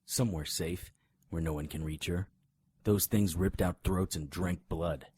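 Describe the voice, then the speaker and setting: Man playing a husky, hard-boiled private detective. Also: low voice, unhurried, a little mellow, no room sound. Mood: tense. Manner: gruff, sardonic, confrontational. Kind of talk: noir radio drama acting.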